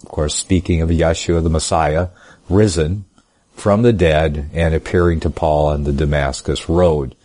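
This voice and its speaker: A man giving a sermon, reading scripture aloud slowly and with emphasis, then explaining it in casual asides. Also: a bass voice, fairly even intonation, dark tone. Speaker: preacher.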